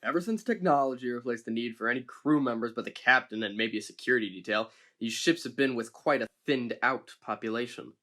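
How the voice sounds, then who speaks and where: A woman playing a stern, deep-voiced ship captain. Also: fairly quick, hardly any pauses, fairly bright tone. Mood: disapproving. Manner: cold, curt, disapproving. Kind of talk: amateur audio drama.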